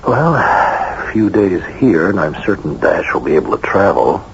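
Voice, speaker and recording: A reassuring family doctor in a vintage radio drama. He is lowish and expressive, gently firm, on a muffled, noisy recording. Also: moderate pace, vocal fry, exaggerated voice. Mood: soothing, firm. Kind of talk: old-time radio drama acting.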